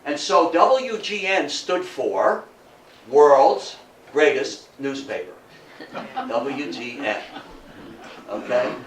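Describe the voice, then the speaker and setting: Older guy doing a history club type talk, animated, repeats himself for punch, bit far from the mic, slight background noise. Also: medium pitch, extremely slow, long pauses. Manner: animated storytelling, repeats phrases for emphasis, checks in with "okay". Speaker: lecturer at a historical society talk. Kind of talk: informal lecture to an audience. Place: indoor talk room with audience. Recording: echoey room, distant mic, background noise.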